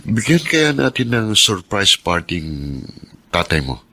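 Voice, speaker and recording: A man's voice: fairly low pitch, big pitch swings, a little slow, pushed and bright, full character voice. A man playing a kind, generous, affectionate husband in a Filipino radio drama. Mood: tender, eager.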